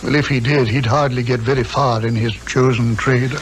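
An English actor playing an aloof, sardonic psychiatrist detective, pompous and dry, in a 1950s radio drama. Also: male voice, medium pitch, fairly even intonation, moderate pace, close to an everyday voice. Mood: coolly superior. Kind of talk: 1950s British radio acting. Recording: faintly noisy 1950s radio recording.

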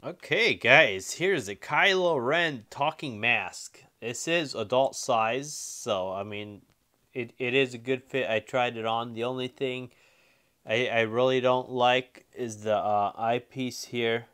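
A man's voice: medium pitch, takes it slowly, sharp tone. A man giving casual, informal unboxing and review commentary as a toy reviewer, easygoing and a bit repetitive.

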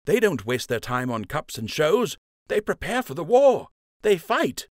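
A man's voice: on the high side, sing-song, a little slow, no room sound, clean studio sound, pitched above the performer's own voice. A man voicing a zealous, frustrated young male rabbit archer in an audiobook, impatient and demanding. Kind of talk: audiobook character acting.

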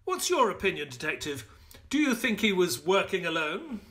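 A courteous gentleman sidekick telling his story in an audio drama. He swoops expressively between measured narration and excitable exclamation, with a bright, forward tone. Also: high-pitched, exaggerated voice. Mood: measured storytelling. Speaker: actor and narrator. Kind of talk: audio play narration and dialogue.

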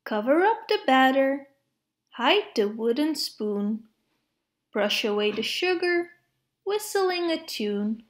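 A woman narrates a storybook for preschoolers in a high-pitched voice. Her delivery is very slow and measured, with lively, expressive swings in pitch. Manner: expressive, measured phrasing with playful sound words. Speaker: read-aloud narrator of children's picture books. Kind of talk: storybook read-aloud for preschoolers.

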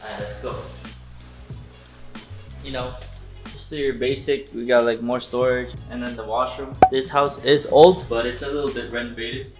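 A man's voice: mid-range voice, fairly even intonation, moderate pace, long pauses, over music. A young man in his twenties giving a casual, laid-back vlog tour of an empty basement suite, filmed on a handheld camera at arm's length. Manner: laid-back, chatty, talks to the viewers. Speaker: vlogger.

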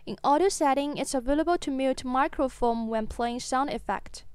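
Woman doing scripted tutorial voiceover, high clear voice, no room sound. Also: moderate pace, a little mellow, no echo. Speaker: software tutorial narrator. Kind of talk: scripted narration, software demo voice-over.

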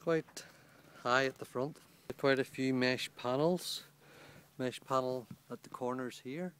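Man, plain mid-pitched voice, matter-of-fact gear reviewer outdoors, handheld camera mic. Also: steady tone, slow pace, a bit bright, clean tone. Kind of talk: casual product walkthrough. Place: outdoors on a lawn beside a small tent. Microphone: handheld camera held by the speaker.

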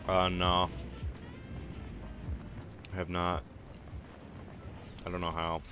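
A young man with a very deep voice, chatting casually and rambling while streaming a game. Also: extremely slow. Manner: relaxed, rambling, laid-back. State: sore chest and ankle. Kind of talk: casual gaming chat. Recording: streamed voice chat, faint music behind.

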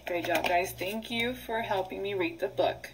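A woman preschool teacher reading aloud and drilling vocabulary for young children in short, simple instructional phrases with pauses. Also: mid-range voice, slight background noise, low-quality recording.

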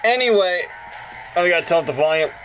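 A male gaming host chats casually through a let's play. His pitch moves around a lot as he rambles and jokes, and game music plays behind him. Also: expressive, brisk, fairly bright tone, rough recording. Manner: rambling, jokey, self-interrupting. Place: indoors, about a foot from the handheld console. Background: game music from the console speaker.

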